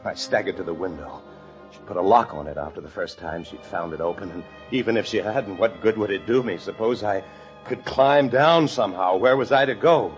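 A haunted husband on the edge, played by a male actor, tells his story in a fairly low, breathless, melodramatic voice. He is paranoid and doomed, and stammers over repeated phrases like an old radio thriller lead. The recording is muffled and lo-fi, with music behind him. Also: fairly quick, close to an everyday voice. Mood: grim, dreading. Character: doomed, paranoid husband hiding after murdering his partner. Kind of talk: old-time radio thriller acting, first-person narration.

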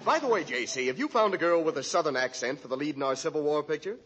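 An actor playing an excitable, self-satisfied Hollywood writer with a husky voice and an eager, boastful manner in a 1940s radio comedy. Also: male voice, on the high side, fast, dull audio, very noisy, natural voice. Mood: fawning.

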